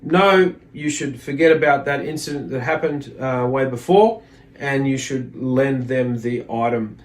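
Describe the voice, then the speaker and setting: A man's voice: medium pitch, a little slow. A man giving an informal religious lesson, reading aloud and explaining in a hesitant, reflective manner. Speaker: religious teacher.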